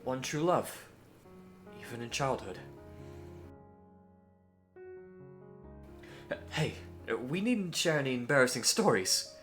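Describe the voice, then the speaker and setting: A man playing a courteous, earnest young Victorian gentleman hero in a scripted audio roleplay, with formal, hesitant phrasing. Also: mid-range voice, big pitch swings, moderate pace, faint music behind. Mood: fond, bashful.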